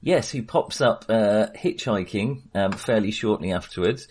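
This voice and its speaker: A husky-voiced man co-hosting a casual film discussion in a hesitant, tentative manner. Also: fairly low pitch, unhurried, darkish tone, slight background noise. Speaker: film podcast co-host.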